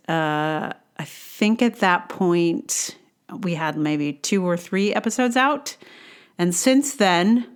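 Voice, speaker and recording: A middle-aged woman hosting a podcast alone, musing casually in a hesitant, rambling way with self-deprecating humor. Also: lowish voice. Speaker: podcast host, playwright and actor. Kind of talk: casual reflective solo podcast monologue.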